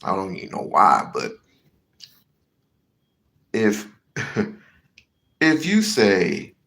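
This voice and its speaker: A man's voice: lowish voice, huge pitch swings, extremely slow, vocal fry, a bit bright. A man hosting a heated commentary rant, slangy and swearing, repeating himself for emphasis. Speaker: commentary show host.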